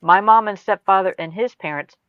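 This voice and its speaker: A woman's voice: mid-range voice, brisk, no echo, narrow, tinny audio. A woman with an Ohio accent making brief, matter-of-fact remarks in a casual group chat. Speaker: chat participant.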